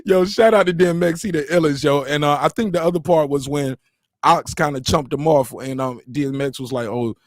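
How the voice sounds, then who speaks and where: A man's voice: a little high, fairly quick, no echo, studio quality. A young man on a panel, chatting casually and telling stories with animation. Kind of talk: casual chat, animated storytelling.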